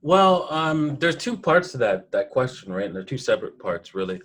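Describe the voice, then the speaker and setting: A man, telling personal stories in a casual interview in an animated way. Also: mid-range voice, normal speed. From Toronto, Canada. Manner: animated storyteller, laughs often. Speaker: podcast guest, programmer.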